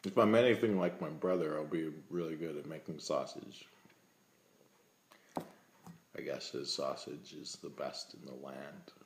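A man's voice: very deep voice, steady tone, measured pace, pauses often, a little hiss or hum. A man in his thirties playing a naive, milk-loving vegetarian in a comedic webcam monologue, rambling earnestly and awkwardly at a table indoors. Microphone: laptop webcam, close. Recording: echoey room sound.

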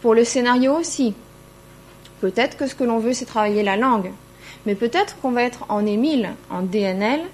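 Woman lecturer explaining stuff at a conference, voice on the high side, faint hum and noise behind her. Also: moderate pace, a little mellow. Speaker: university lecturer presenting at a conference. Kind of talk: conference presentation. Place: indoor conference room, seated at a panel table.

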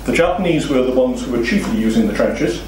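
A man's voice: mid-range voice, expressive, brisk, a little mellow, slight background noise. A middle-aged Welsh man with a Welsh accent giving an illustrated lecture to a seated audience, explaining steadily and posing rhetorical questions. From Wales. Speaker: seminar speaker. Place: meeting room with a seated audience. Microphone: far room recording, no mic seen. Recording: very echoey room, distant mic.

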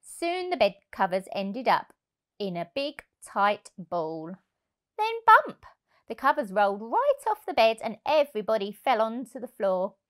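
Woman reading kids story, takes it slow, really animated, highish voice. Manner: expressive, deliberate. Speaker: childminder reading to children. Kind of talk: storybook narration for young children. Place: indoor room, on a sofa. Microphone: lapel mic.

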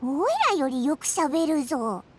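A woman voicing a tiny, cheeky, excitable childlike fairy companion in an anime-style game, with blunt, boyish phrasing. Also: high-pitched, a little slow. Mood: surprised, cheeky. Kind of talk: Japanese anime-style game dub acting.

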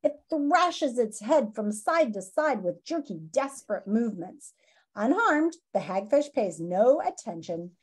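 A woman in her fifties reading a children's nonfiction book aloud in a dramatic, teacherly way with emphatic pauses, on a video call from a room at home. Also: fairly high pitch, big pitch swings, slightly rough. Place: small home room, indoors. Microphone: video call, computer microphone.

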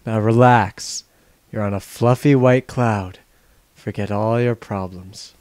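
A man's voice: lowish voice, steady tone, slow pace, darkish tone, no room sound. A man voicing a cocky, callous young adventurer with a glib, sarcastic manner in a parody game dub. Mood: mock soothing.

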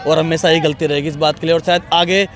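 A man's voice: a little high, steady tone, fast talker, a crowd in the background. A young man standing on an outdoor sports ground, talking emphatically and at length into a handheld mic. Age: young adult. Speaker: interviewee. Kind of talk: casual opinion.

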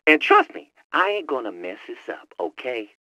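A man's voice: mid-range voice, huge pitch swings, moderate pace, sharp tone, exaggerated voice. An actor playing a boastful young male hacker who talks in teasing street slang over a headset in a video game. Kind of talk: video game cutscene voice acting.

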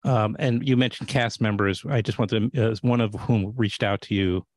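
A middle-aged man hosting a casual interview, halting and often interrupting himself as he searches for his words. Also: on the low side, steady tone, a little mellow, no room sound. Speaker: interview host. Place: small book-lined home room, indoors. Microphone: studio mic on boom arm.

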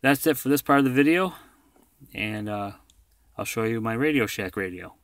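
A man's voice: medium pitch, animated, normal speed, fairly bright tone, dry recording, studio quality. An American man in a casual, rambling, self-deprecating vlog, showing off gear as he talks. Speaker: vlogger, former truck driver. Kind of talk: casual product showcase vlog. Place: inside a parked vehicle, later outdoors beside it.

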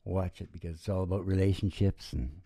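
An older man hosting a homemade show with informal, halting, joking banter into a stage microphone. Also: deep voice, fairly even intonation, vocal fry, warm tone, slightly rough. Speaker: radio-style show host and country singer. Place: small dim wood-panelled room, indoors. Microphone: vocal microphone on a stand. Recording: dull lo-fi live recording with guitar.

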